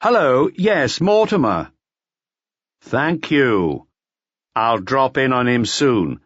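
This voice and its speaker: Male reader as an unflappable detective, gruff, audiobook crime story, swooping intonation, slightly dull sound. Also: medium pitch, sing-song, slow pace, fairly bright tone, dry recording, voice pushed up, heavily acted. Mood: cool.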